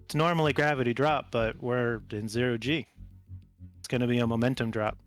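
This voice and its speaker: A seasoned, cocky combat pilot, played by a man in a tabletop game. His voice sits at an average male pitch and he speaks with deliberate, matter-of-fact determination. Soft music plays behind him. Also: steady tone, natural voice. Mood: determined, wry. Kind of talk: tabletop roleplay dialogue.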